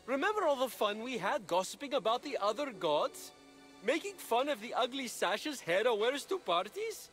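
An actor playing a gossipy, flippant young messenger god in a video game, catty and breezy. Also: male voice, very high-pitched, very animated, moderate pace, fairly bright tone, soft background music, exaggerated voice. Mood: incredulous. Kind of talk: video game cutscene acting.

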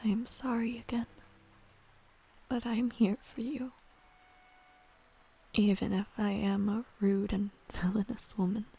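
Slow-spoken, tender young villainess, acted by a woman. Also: mid-range voice, flat delivery, creaky, slightly rough, exaggerated voice. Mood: tender, hesitant. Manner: formal, halting, softly spoken. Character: young noble villainess, proud yet shy and tender. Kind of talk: intimate romantic audio roleplay.

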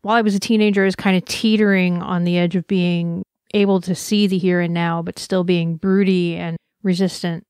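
A woman's voice: lowish voice, level tone, brisk, hardly any pauses, a little mellow, clean tone, no echo, clean studio sound. A woman giving a personal, earnest conference talk, speaking with passion and reflection. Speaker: conference presenter.

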